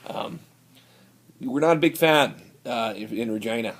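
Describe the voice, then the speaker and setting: A man playing a boozy, brawling Canadian hoser with a Canadian accent, rambling and stumbling, ending lines with "eh". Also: mid-range voice. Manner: rambling, halting, tacks on "eh". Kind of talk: improvised comedy sketch.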